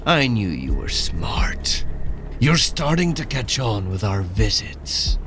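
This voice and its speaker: An actor playing a cold, controlling cybernetic colonel in a sci-fi audio drama, measured and calmly commanding. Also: male voice, fairly low pitch, music in the background. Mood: calm, smug.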